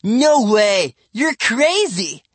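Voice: medium pitch, big pitch swings, slow pace, pushed and bright, no echo, voice pushed up, full character voice. A narrator voicing a skinny, indignant boy prankster who whines and protests in a storybook. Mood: defiant.

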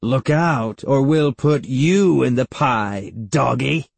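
Man playing a loud, leering old great-aunt. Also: slow, dry recording. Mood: teasing, menacing. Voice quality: deep-throated. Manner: bellowing, short probing questions.

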